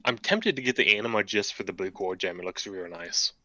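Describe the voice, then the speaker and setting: Man, low pitch, bright tone, relaxed and joking, casual hobby chat, dry muffled audio. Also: brisk, no room sound. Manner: relaxed, joking, thinks aloud with fillers. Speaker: model kit builder chatting on stream.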